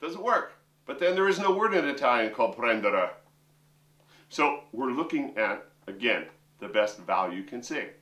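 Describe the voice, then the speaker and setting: A man's voice: medium pitch, animated, moderate pace, a bit bright. A middle-aged man, a vocal coach and opera singer, giving a chatty, joking lesson straight to his viewers from a room with a piano. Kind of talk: instructional talk to camera. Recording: roomy sound, mic at a distance.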